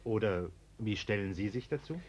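Fussy, over-polite middle-aged bourgeois man, anxious and falsely jovial, very animated pitch, radio drama, muffled sound. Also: moderate pace. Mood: earnest, chummy. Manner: hesitant, over-polite, chummy, nervous chuckling. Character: anxious, ingratiating middle-class male homeowner, falsely jovial. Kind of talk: German radio play acting.

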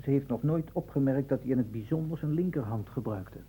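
An actor playing a deferential assistant who reports in clipped, orderly phrases, in a Dutch radio drama on an old recording. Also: male voice, brisk, mellow, lightly acted, rough recording. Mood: factual, orderly. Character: deferential male assistant reading out medical case reports. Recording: old radio recording, faint rumbling noise.

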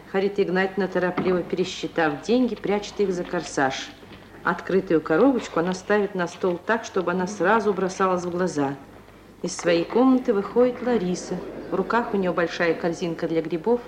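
Low-voiced woman narrating a play. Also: fairly quick. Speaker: narrator reading stage directions. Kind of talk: scripted narration of a radio play. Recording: noisy vintage radio recording.

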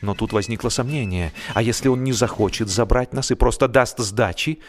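Male audiobook narrator, mid-range voice, theatrical and measured, wry literary comedy delivery, soft musical bed. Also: medium pitch. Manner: theatrical, measured with dramatic pauses. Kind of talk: expressive humorous literary narration. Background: background music.

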